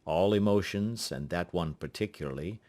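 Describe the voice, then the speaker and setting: A man narrating a literary audiobook in a measured, formal delivery. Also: low voice, darkish tone.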